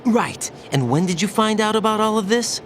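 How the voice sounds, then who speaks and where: A man's voice: high-pitched, lively intonation, normal speed, fairly bright tone, a little hiss or hum. A man playing an earnest, uneasy young special operative in a dramatic video game, always questioning. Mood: suspicious, uneasy.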